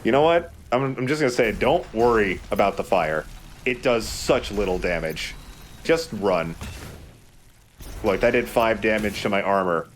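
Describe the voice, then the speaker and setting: A man's voice: on the low side, expressive, moderate pace, slight background noise. A man co-hosting a let's play, casually explaining the game in a joking, conversational way. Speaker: let's play co-host, gamer. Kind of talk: casual gameplay commentary.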